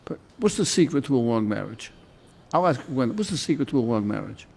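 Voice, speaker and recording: A man's voice: medium pitch, sing-song, brisk, gravelly, darkish tone, slightly rough. An older man speaking as a judge in a courtroom, questioning people in an informal, teasing way and repeating their answers back. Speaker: judge presiding in a municipal court show.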